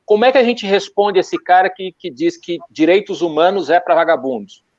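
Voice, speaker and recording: A man hosting an interview, relaxed and slangy, repeating words as he searches for them. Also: high voice, fairly quick, no room sound. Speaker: host. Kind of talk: casual interview chat. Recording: dull, dry sound.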